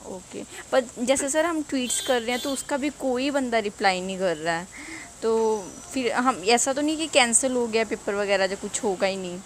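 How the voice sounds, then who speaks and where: A North Indian woman calls with an enquiry, polite but persistent and pleading. Her voice sits a little high, and there is faint noise on the phone recording. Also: normal speed, poor audio. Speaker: caller asking about an exam.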